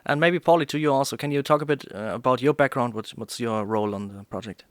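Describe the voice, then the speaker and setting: An enthusiastic male podcast host asks questions in a friendly, casual manner. His voice is mid-pitched and dry, with no room sound. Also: clean tone, dry recording. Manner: friendly and enthusiastic, quick to laugh.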